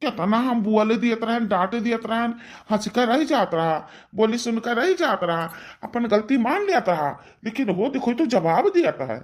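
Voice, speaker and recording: A woman's voice: a little high, lively intonation, normal speed. A woman voicing a scolding, hot-tempered villager in a cartoon family quarrel, with an Awadhi accent and combative, repetitive delivery. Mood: indignant. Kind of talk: cartoon family drama acting.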